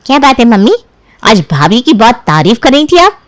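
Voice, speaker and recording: Woman acting a high, nagging, bossy young sister-in-law. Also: very animated, a little fast, dry recording, clean studio sound. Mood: critical. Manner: nagging, condescending. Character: fault-finding, bossy young sister-in-law, sugary and smug.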